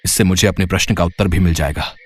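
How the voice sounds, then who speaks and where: A man voicing a curious, earnest young prince in an animated story, speaking in a wondering, sincere manner. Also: low voice, fast, dry recording.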